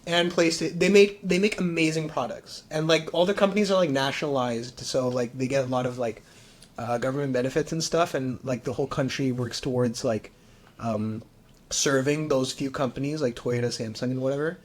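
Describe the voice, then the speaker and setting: A man's voice: medium pitch, brisk. A young man in casual podcast chat, loose and opinionated, with many fillers.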